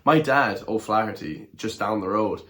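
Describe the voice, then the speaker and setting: Excitable, low-voiced Irish man chatting. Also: moderate pace. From Ireland. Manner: chatty, excitable, addresses viewers as lads and guys; blunt, with mild swearing. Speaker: reaction video host. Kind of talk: casual reaction commentary.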